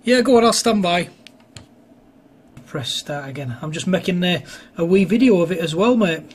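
Male ham radio hobbyist, high voice with a rough husk, casual on-air chat. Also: animated, moderate pace. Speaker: amateur radio operator filming a test. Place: indoors at a home radio desk.

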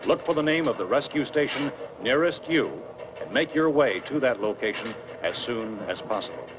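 An actor playing a grave, sober American television newscaster, reading a formal, measured 1960s news bulletin with authority. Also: male voice, mid-range voice, lively intonation, close to an everyday voice. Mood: grave, urgent. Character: grave, sober male TV newscaster reporting a catastrophe. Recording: dull noisy old film soundtrack, television sound.

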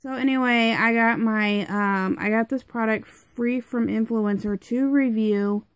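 A woman's voice: on the high side, fairly even intonation, unhurried, smooth, dry recording. Congested young woman rambling, dry sound. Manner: chatty, rambling, many fillers. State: congested, stuffed-up nose. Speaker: product reviewer. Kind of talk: casual rambling product review.

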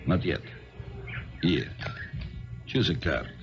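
Very deep-voiced, cryptic fortune-teller. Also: male voice, animated, vocal fry, music in the background, exaggerated voice. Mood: ominous, mystical. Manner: slow, portentous, commanding. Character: suspicious, proud Romany fortune-teller, cryptic and brusque. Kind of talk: 1970s radio drama acting. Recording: dull, noisy 1970s radio recording.

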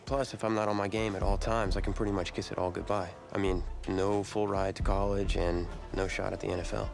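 A man playing a stressed, proud high school football star in a video game cutscene, casual and sardonic with an American accent. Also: flat delivery, a little fast, creaky. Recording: background music under the voice.

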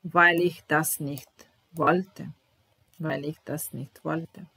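Slow, low-voiced Serbian-accented woman teacher. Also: fairly even intonation, gravelly, pushed and bright, husky, no echo. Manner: repeats each phrase slowly while typing. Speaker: German teacher. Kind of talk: slow, deliberate language lesson. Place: small home room, indoors.

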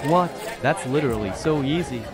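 A man's voice: on the high side, lively intonation, normal speed, a loud crowd. A man playing a fed-up, cocky player with a British accent in a scripted gamer drama. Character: fed-up, swearing male player given a British voice.

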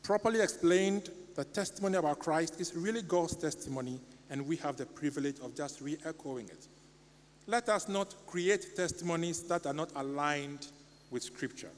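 A man's voice: on the high side, moderate pace. Ghanaian man preaching in a reverberant church. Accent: Ghanaian English. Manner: earnest, pleading, animated hand gestures. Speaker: lay preacher. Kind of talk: sermon. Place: church sanctuary. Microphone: stand mic at pulpit. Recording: long church reverb, faint hum.

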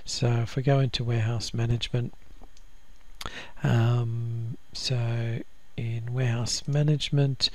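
A man walking through a software install in a casual screen-recorded tutorial, with frequent ums and restarts. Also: medium pitch, little pitch movement, measured pace. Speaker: software trainer.

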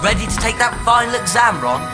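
Voice actor as schoolboy hero, good-natured, polite, animated pitch, game music behind. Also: a little fast, natural voice. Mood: friendly.

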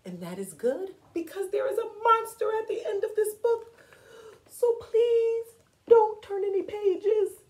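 A woman's voice: extremely high pitch, lively intonation, measured pace, a little mellow, pitched above the performer's own voice. A woman voicing a frantic, fearful male puppet monster from a storybook, melodramatic and pleading. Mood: hushed pleading. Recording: echoey room, distant from microphone.

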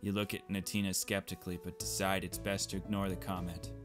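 A man's voice: low voice, little pitch movement, brisk. A man playing a visual novel, reading it aloud with casual, playful, exclamatory reactions. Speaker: let's player. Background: game music.

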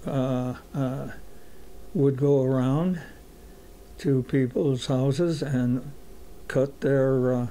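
A man of eighty-seven recalling his youth in a halting way, with many fillers and a dry humor. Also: mid-range voice, warm tone, low-quality recording. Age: 80s. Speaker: interviewee. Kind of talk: oral history recollection.

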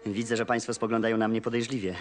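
An actor playing a courteous, eager young Polish gentleman in a period television drama, speaking politely and with animation. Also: on the low side, level tone, quick pace, faint music behind, lightly acted. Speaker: landowner's son.

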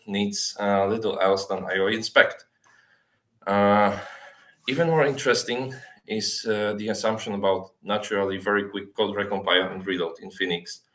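A man with a fairly low voice gives a technical talk in a halting way, pausing often on filler sounds. The sound is roomy and echoey, as from a video call in a small room. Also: bright, forceful tone. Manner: halting, hesitant delivery with frequent filled pauses. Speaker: software developer giving a talk. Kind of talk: technical conference presentation over slides.

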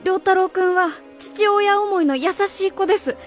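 A woman's voice: extremely high pitch, moderate pace, soft background music. A woman playing an earnest, polite young teacher, timid but principled, in a radio drama. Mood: indignant. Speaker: actor.

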